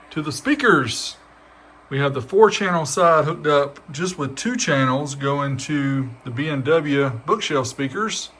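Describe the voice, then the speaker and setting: A man's voice: on the high side, bright, forceful tone, a little hiss or hum. A man giving casual, upbeat product test commentary as a show host, chatty and informal. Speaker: host of a car audio testing show.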